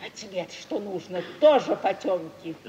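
An actress playing a shrewd, warm-hearted provincial gentlewoman in an expressive, emphatic 1950s Russian radio theatre style. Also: mid-range voice, moderate pace, dull audio, lightly acted. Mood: guarded, teasing. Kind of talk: mid-century Russian radio theatre acting. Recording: 1950s radio play, distant, echoey hall.

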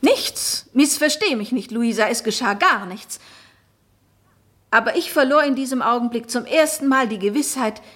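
A married noblewoman who doubts who she is. Her voice is high and her phrasing solemn and poetic. She speaks as in a literary radio drama, earnest and pleading. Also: animated, normal speed, fairly bright tone. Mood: troubled reflection. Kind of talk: literary German radio play acting.

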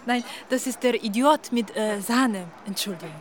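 An actress playing a flustered, chirpy young café waitress in a radio drama, hesitant, apologetic and joking. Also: female voice, a little high, moderate pace, natural voice. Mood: flustered, friendly.

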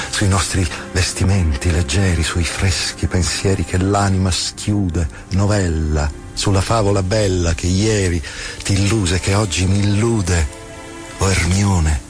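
A man playing a solemn weather announcer who declaims poetry for a radio parody. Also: deep voice. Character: solemn male weather announcer reciting verse. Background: music.

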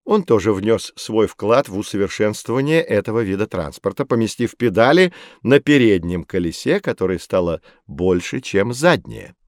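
A man playing a patient, knowledgeable teacher who explains with expressive storytelling in a children's educational audio drama. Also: on the low side, normal speed, hardly any pauses, no room sound, studio quality. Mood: patient, explaining.

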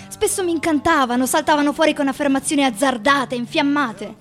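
Actress doing a defiant barfly poet guy, higher voice, sardonic dramatic radio monologue, music behind. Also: brisk, lightly acted. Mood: wry, reflective. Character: sardonic bar-haunting writer, male, restless and defiant. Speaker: stage actress performing a literary monologue. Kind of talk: theatrical radio reading of poetry and memoir.

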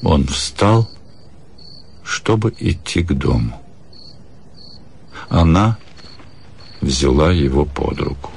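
A man reading scripted literary narration in a measured way, with deliberate pauses. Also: a bass voice, animated, vocal fry, a little mellow, slight background noise. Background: music.

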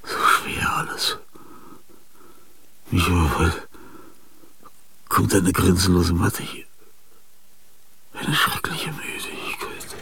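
A man narrating and speaking in a radio drama, with a gravelly voice and a weary, half-whispered inner monologue. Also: low-pitched, fairly even intonation, takes it slowly, pauses often. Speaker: narrator and protagonist.

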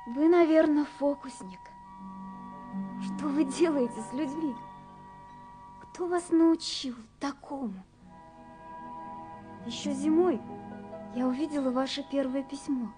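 High-voiced, dreamy young woman. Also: takes it slowly, long pauses, over music. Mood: tender wonder. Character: dreamy romantic young woman answering a letter. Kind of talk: literary radio play monologue, letter reading.

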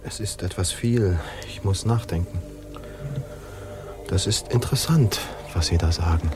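A man's voice: low-pitched, measured pace, over music. An actor playing a brooding, pensive, awkward man aboard ship in a radio drama, speaking reflectively.

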